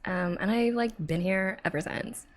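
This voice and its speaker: A woman's voice: medium pitch, normal speed, close to an everyday voice. A woman voicing a playful, chatty male snake in a storybook reading, with a drawling Valley Girl accent full of fillers. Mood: chatty, reminiscing. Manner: drawling, filler-heavy, breezy.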